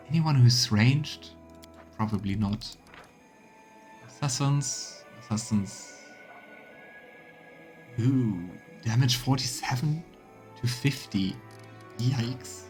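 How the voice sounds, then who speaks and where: A man streams a video game in a casual, musing way, dropping short murmured asides as he thinks through choices. His pitch sits in the middle for a man, and faint music plays behind him. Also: takes it slowly, long pauses. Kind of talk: let's play commentary, reading game text aloud.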